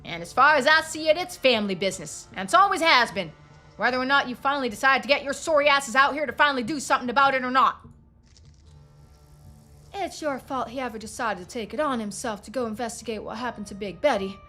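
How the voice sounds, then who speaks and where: A woman doing a furious, forceful country father. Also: high voice, fast talker, faint music behind, pitched above the performer's own voice. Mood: angry, belligerent. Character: furious, foul-mouthed rural father demanding his son back.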